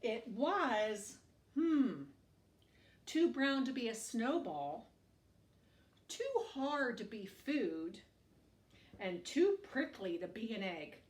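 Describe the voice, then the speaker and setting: A woman reads a picture book to young children, slowly and expressively, in a fairly high voice. She adds little asides and questions as a teacher would. The room echoes around her. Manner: expressive, asks the listener questions. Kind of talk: read-aloud storytelling to young children with asides. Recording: echoey room, distant microphone.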